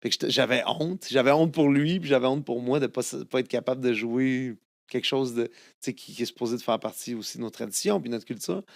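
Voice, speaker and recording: A man's voice: mid-range voice, fast, vocal fry, no echo, clean studio sound. A man in his fifties with a Québécois French accent, whose first language is Italian, telling stories as a guest in a casual interview, with many fillers and restarts. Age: 50s. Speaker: guest singer-songwriter.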